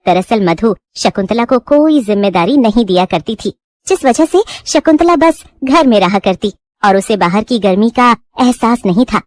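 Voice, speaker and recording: A woman narrates a scripted bedtime story for children. Her voice is high-pitched and the recording is dry and close. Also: expressive, normal speed, a little mellow, no echo.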